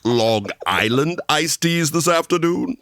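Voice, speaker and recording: An actor playing a droll, mock-sinister host of an old-time horror radio anthology. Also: male voice, fairly high pitch, lively intonation, unhurried, a bit bright, dry recording, studio quality. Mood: wry, teasing.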